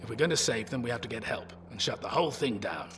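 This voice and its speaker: An actor playing a sensible, urgent old care home resident with a military past in an audio drama. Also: male voice, mid-range voice, expressive, quick pace, recorded from a distance, background noise, natural voice. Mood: alarmed, firm.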